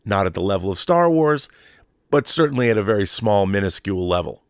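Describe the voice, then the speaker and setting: A man's voice: lowish voice, animated, fairly quick, clean tone, no room sound, studio quality. An American man in his fifties talking casually and with opinions as a show host, emphatic and nostalgic, repeating phrases for stress. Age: 50s. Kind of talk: opinionated casual monologue on pop culture.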